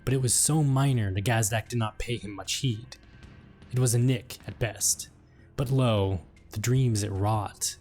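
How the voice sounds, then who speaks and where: A man narrates grim fantasy lore in a medium-pitched voice. His delivery is solemn and portentous, clearly scripted and dramatic. Faint music plays behind him. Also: darkish tone. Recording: background music under the voice.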